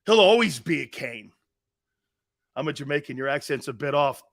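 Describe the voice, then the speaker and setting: A man's voice: on the high side, expressive, moderate pace, fairly bright tone. A middle-aged man hosting a live sports talk show, joking and rambling, laughing often as he talks. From Italian-American family.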